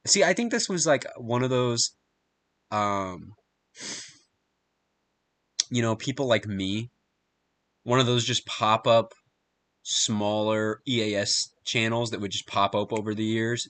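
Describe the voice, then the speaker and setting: A man with a fairly low voice chats casually as a live streamer. His delivery is slangy and unscripted, with easy amazement and occasional cursing as he reacts to what he watches. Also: fairly bright tone. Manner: slangy, easily amazed, swearing.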